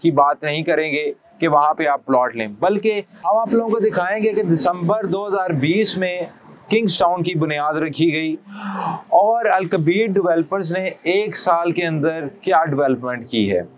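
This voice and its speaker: A man's voice: a little high, moderate pace, rough recording. A man in his thirties with a Pakistani accent presents a promotional real estate video as a consultant, explaining things like a salesman into a lapel mic.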